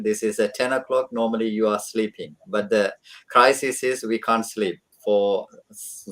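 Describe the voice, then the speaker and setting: A man with a Sri Lankan accent hosting an online panel discussion, opening with religious greetings and pausing on many fillers. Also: fairly low pitch. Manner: opens with Islamic greetings, halting with many fillers. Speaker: host.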